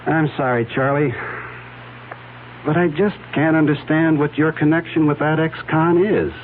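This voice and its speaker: An actor playing a blunt, sarcastic district attorney. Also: male voice, on the high side, fairly even intonation, moderate pace, lightly acted. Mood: stern. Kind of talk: 1970s radio drama acting. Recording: tinny lo-fi old radio broadcast with faint hiss.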